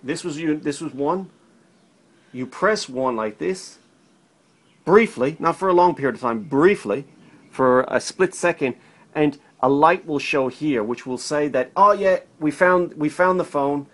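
A man's voice: a little high, unhurried, a bit bright. Man giving an informal hands-on demo. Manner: conversational, repeats himself, checks in with "right?". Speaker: electrician demonstrating intercom setup. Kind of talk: informal how-to demonstration. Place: indoors at a work table. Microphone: handheld camera held by another person, a short way off.

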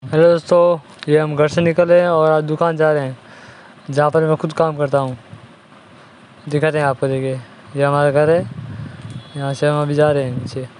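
A man's voice: on the high side, little pitch movement, normal speed, long pauses, darkish tone, a little hiss or hum, poor audio. A young man guiding viewers through a daily vlog, showing his way to the shop where he works and the shop itself. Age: young adult. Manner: plain tour-guide pointing out sights. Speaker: vlogger. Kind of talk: casual walk-through vlog. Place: walking from home to a sari shop, indoors and out. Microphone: handheld phone camera.

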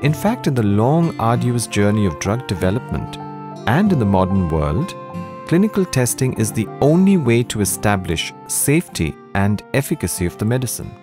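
Low, expressive male documentary narrator. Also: moderate pace. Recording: music under the voice. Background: guitar music.

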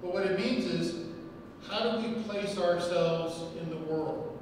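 A man's voice: sits high, measured pace, background noise. Middle-aged male lecturer, wry and chatty, podium mic in a reverberant hall. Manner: wry, self-deprecating, conversational. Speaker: guest lecturer. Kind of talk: public lecture. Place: large hall with arched windows. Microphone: podium microphone. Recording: echoey hall, far from the mic.

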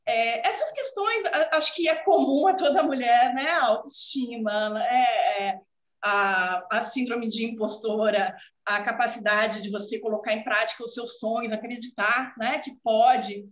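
A woman's voice: on the high side, a little slow. A Brazilian woman in her forties with a gaúcha accent, talking earnestly on a video call and often tagging her sentences with "né". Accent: Brazilian Portuguese, gaúcha. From southern Brazil. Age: 40s. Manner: warm, earnest, many filler "né" tags. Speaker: student guest. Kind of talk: casual video call chat. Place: home room indoors. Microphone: video call laptop mic. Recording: echoey, dull video call audio.

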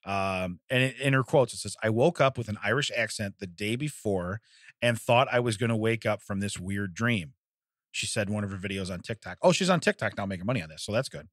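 A man's voice: lowish voice, expressive, fairly quick, fairly bright tone, dry recording. A man co-hosting a comedic podcast in a studio, rambling and laughing often as he reads out news stories. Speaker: podcast co-host. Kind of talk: casual comedic podcast chat. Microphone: studio microphone.

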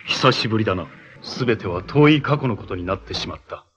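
An actor playing a menacing armored cyborg villain in a 1980s Japanese superhero TV show, making imperious, theatrical proclamations. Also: male voice, on the low side, lively intonation, quick pace, a bit bright. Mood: somber, detached.

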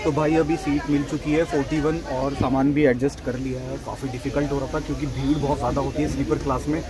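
A man's voice: medium pitch, steady tone, fast, poor audio. A man, narrating a casual travel vlog to a handheld camera. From Najafgarh, Delhi, India. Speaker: travel vlogger. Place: railway stations and inside a crowded train. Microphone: handheld camera at arm's length. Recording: busy crowd noise around the voice.

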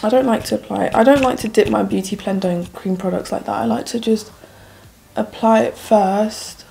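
Chatty young woman with a croaky morning voice. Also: medium pitch, steady tone, moderate pace, dark tone, soft background music. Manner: chatty, rambling, addresses viewers as guys. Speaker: beauty vlogger. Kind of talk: casual makeup review talking to camera. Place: plain indoor room with plants.

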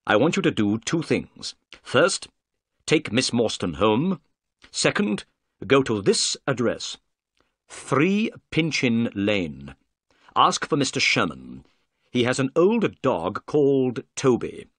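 A man voicing a calm, polite private detective in an audiobook, with an assured, authoritative manner. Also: mid-range voice, takes it slowly, breathy edge, no room sound, studio quality, exaggerated voice. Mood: decisive.

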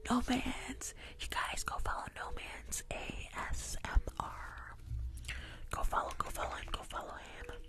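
A woman in her twenties chatting casually with viewers in a soft, breathy ASMR whisper close to a microphone, often repeating her phrases. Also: measured pace. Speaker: ASMR live streamer. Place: small indoor room. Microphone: desk microphone at close range.

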